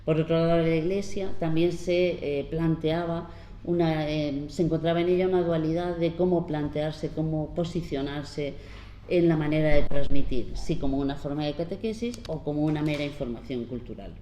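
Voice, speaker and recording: A woman in her fifties from La Mancha gives an earnest, unhurried public talk as a panel speaker, pausing often as she explains. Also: deep voice, warm tone. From La Mancha, Spain. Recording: roomy hall with faint background noise.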